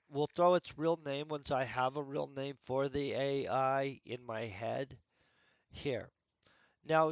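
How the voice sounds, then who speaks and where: A man's voice: mid-range voice, no room sound, muffled recording. A man talking casually on a livestream about writing his book, thinking aloud and rambling, his voice congested. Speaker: author streaming his writing session.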